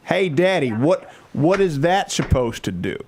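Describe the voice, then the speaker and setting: A little girl, put on by a man, innocent and full of questions. The voice is lifted high and sounds childlike and curious, as in a comic prank call. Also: big pitch swings, a little slow, darkish tone, husky, full character voice. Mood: innocent, puzzled. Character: curious, innocent nine-year-old daughter.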